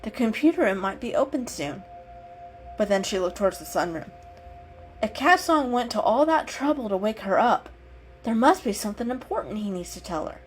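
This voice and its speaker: Animated woman reading a story dramatically. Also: medium pitch, normal speed, faint music behind, low-quality recording.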